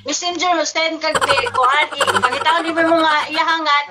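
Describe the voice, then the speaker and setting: A Filipino woman with a Bisaya accent in an animated group chat on a very noisy recording. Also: high voice, normal speed.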